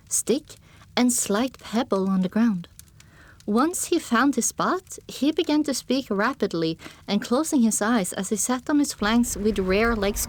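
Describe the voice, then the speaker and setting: A woman reading fiction as an audiobook narrator, in an expressive first-person storytelling style. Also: a little high, no echo.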